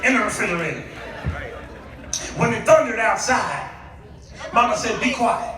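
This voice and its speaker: An American man preaching in an animated, folksy storytelling style to a congregation. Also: sits high, normal speed, crowd noise. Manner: animated, folksy, acting out exchanges; rhetorical questions to the congregation. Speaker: guest preacher. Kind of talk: storytelling sermon. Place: church, before a congregation. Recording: echoey hall, picked up from far off.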